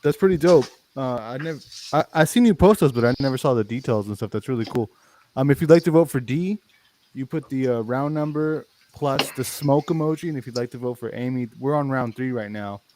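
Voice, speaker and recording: A man's voice: mid-range voice, brisk, dark tone, slight background noise. A man hosting a sneaker livestream, chatting casually, swearing freely, and reading viewer comments aloud. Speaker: livestream host.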